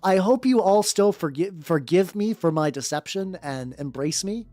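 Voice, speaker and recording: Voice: mid-range voice, expressive, normal speed, mellow, dry recording. A VTuber livestreamer chatting casually and reading fan fiction aloud in a rambling, sardonic, theatrical way. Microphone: close dry streaming mic. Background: faint background music.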